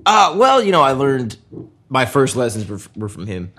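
A man's voice: mid-range voice, big pitch swings, normal speed, pushed and bright. A young man, a guitarist, talking casually in a loose, rambling way with lots of fillers and restarts. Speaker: guitarist guest. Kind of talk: casual conversation.

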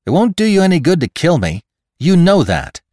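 A man's voice: mid-range voice, sing-song, unhurried, no room sound, studio quality, voice pushed up. A man voicing a logical, reasonable man in a storybook reading, arguing insistently and articulately for his life. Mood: urgent reasoning. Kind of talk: dramatic storybook narration.

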